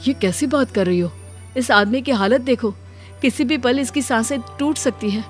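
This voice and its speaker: A woman's voice: on the high side, moderate pace, soft background music, pitched above the performer's own voice, lightly acted. A woman voicing a weary, compassionate male doctor in a cartoon moral story, speaking earnestly. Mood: firm, urgent.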